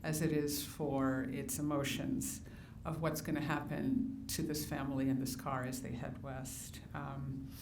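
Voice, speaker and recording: Woman host, earnest scripted intro, deep voice, roomy distant sound. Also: level tone, measured pace, vocal fry, hardly any pauses. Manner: earnest, reads out praise. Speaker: event host introducing an author. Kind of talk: reading a prepared introduction. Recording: echoey room, distant mic, audible noise.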